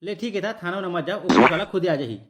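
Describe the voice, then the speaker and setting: A woman voicing a folksy, easily flustered village headman, fatherly yet gruff, in a Chhattisgarhi comedy cartoon. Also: fairly low pitch, animated, fast talker, heavy background noise, lightly acted. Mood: reassuring.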